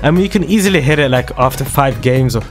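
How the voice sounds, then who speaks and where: Slightly hoarse, hyped-up gamer guy. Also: mid-range voice, quick pace. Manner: excitable, slangy trash-talking banter. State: slightly hoarse, dry throat. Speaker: gaming video creator. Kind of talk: live gameplay commentary. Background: loud background music and gunfire.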